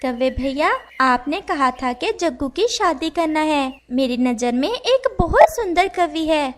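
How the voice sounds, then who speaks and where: A woman voicing a kind female sparrow who gives polite, gentle advice. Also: high voice, expressive, unhurried.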